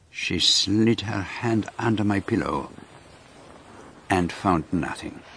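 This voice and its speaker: An actor playing a courteous, shrewd gentleman detective with a French-speaking Belgian accent, precise and formal, in a radio drama. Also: fairly low pitch, husky, dry recording, full character voice. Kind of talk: radio drama narration and dialogue.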